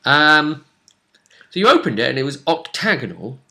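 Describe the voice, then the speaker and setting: Bright-voiced man recapping a role-playing game. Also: fairly high pitch, sing-song, moderate pace. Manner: explanatory, often ending on tag questions. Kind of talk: casual conversational game recap.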